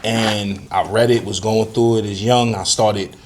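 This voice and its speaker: A man from Harlem with a New York accent, a rapper guest telling his story in a laid-back, relaxed way in a bakery. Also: lowish voice, unhurried, slight background noise. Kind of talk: casual interview talk.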